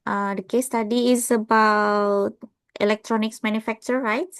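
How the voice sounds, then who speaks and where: A woman with an Indonesian accent reading a case study aloud in class, careful and unhurried, with small slips. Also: on the high side, flat delivery, a bit bright, smooth.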